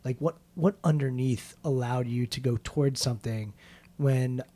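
Mellow, slightly husky male host. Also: mid-range voice, normal speed. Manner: curious, probing, reflective questions. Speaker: interview host. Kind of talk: conversational interview questions.

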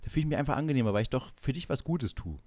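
A man's voice: mid-range voice, lively intonation, rapid-fire, a little mellow, no room sound. A man co-hosting a comedy podcast in casual banter, teasing and announcing things with mock solemnity.

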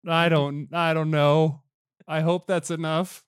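A man's voice: fairly high pitch, level tone, slow pace, clear, resonant tone, no room sound, clean studio sound. A man voicing a self-doubting young bachelor, hesitant and pleading, in a dating show parody. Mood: insecure, pleading. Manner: unsure, hesitant.

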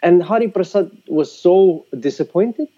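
Halting man with a dull lo-fi sound. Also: high-pitched, lively intonation, mellow. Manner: hesitant, repeats words while thinking. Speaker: musician and teacher. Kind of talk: casual conversation.

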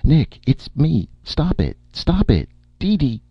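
A man voicing a forceful woman in a story, urgent and insistent. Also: sits low, big pitch swings, normal speed, slightly rough, no echo, highs cut off, voice pushed up, heavily acted. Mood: urgent shouting.

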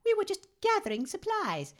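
A weary, blunt mutant woman, as an actress plays her in a comedy audio drama. The voice is very high and lively, but she delivers lines in a dry, matter-of-fact way. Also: normal speed, exaggerated voice.

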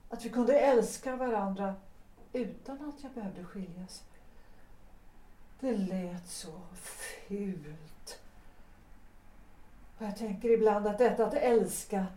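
Picture an actress voicing an intense, pleading married woman in a Swedish audio drama. Her tone is mellow and dark, and she sounds set back from the mic in a roomy space. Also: mid-range voice, pauses often, distant mic, a little hiss or hum, close to an everyday voice. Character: unhappily married woman pleading with young lover.

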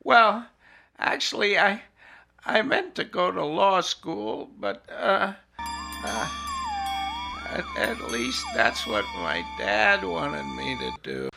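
A man's voice: sits high, big pitch swings, slow, gravelly, loud music behind the voice, exaggerated voice. An actor playing a flustered, meek, bumbling man who stammers and hesitates, in an audio drama. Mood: flustered, insistent.

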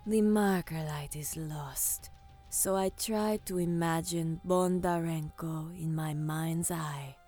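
A woman playing a grim, focused alien commander, narrating an audio drama in the first person with slow, hushed, deliberate delivery. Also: low-pitched, fairly even intonation. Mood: hushed, tense focus. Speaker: narrator and lead character. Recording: music under the voice.

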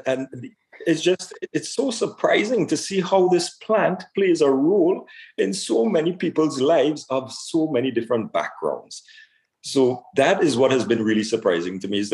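Man from Trinidad speaking haltingly. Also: mid-range voice, a little mellow, low-quality recording. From Trinidad and Tobago. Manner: halting, repeats words as he searches for phrasing. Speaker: cannabis store owner being interviewed. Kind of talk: conversational interview answers.

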